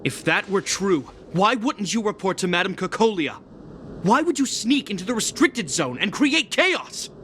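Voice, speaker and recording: An actor playing a stern, dutiful young military captain in a video game cutscene, formal, righteous and emphatic. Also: male voice, high voice, big pitch swings, moderate pace, bright, forceful tone, slight background noise, full character voice. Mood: indignant.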